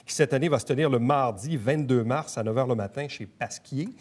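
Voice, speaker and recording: A man with a Quebec French accent hosts a local interview show. He introduces his guests in a brisk, practiced way and throws in teasing questions. His voice sits at a middle pitch for a man. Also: a little mellow. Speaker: host.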